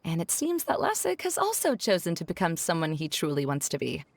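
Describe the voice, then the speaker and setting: Young female scholar, lively intonation, dry close sound, articulate, gently wry, encouraging, game cutscene. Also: low-pitched, a little fast, vocal fry, dry recording, exaggerated voice. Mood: reassuring. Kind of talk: video game cutscene voice acting.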